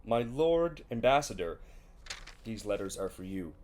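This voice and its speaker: An actor playing a dutiful messenger delivering formal address at court in a Shakespearean drama. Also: male voice, lowish voice, very animated, unhurried, a little mellow, a little hiss or hum. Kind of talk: Shakespearean stage-style audio drama acting.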